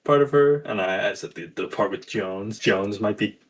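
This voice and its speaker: A man's voice: lowish voice, normal speed, husky. A man player talking casually during a tabletop roleplaying game. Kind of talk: casual chat.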